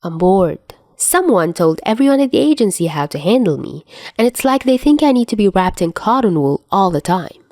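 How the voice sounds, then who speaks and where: A woman's voice: medium pitch, big pitch swings, moderate pace, darkish tone, no echo, clean studio sound. A woman voicing an airy, playful, theatrical young man with a sing-song, whiny way of talking in an audiobook story. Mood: airy, admitting.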